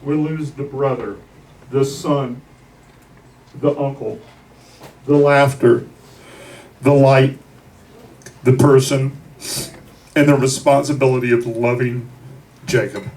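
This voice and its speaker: A man giving a eulogy at a memorial gathering in a deliberate, declarative way, with dramatic pauses between phrases. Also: mid-range voice, steady tone, darkish tone. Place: bar venue, captured from the audience. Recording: distant mic in a noisy bar.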